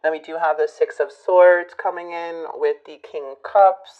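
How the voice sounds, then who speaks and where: A woman giving a casual tarot reading to her viewers, musing and hesitant, her voice a bit hoarse from a recent illness. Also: on the low side, steady tone, normal speed, highs cut off. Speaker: tarot reader.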